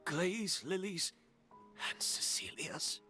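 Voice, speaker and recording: An actor playing an obsessed, vengeful villain in a video game, with a dramatic, disbelieving delivery. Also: male voice, high-pitched, takes it slowly, bright, forceful tone, soft background music, exaggerated voice. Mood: stunned, bitter. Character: obsessed, vengeful villain fixated on a rare perfume. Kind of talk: scripted video game acting.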